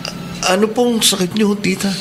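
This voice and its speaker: An actor plays a young police cadet, a respectful and concerned nephew. He speaks in a fairly high, deferential voice in a radio drama. Noise is clearly audible behind him. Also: fairly even intonation, moderate pace, lightly acted. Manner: polite, deferential. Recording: noisy radio drama with ambience.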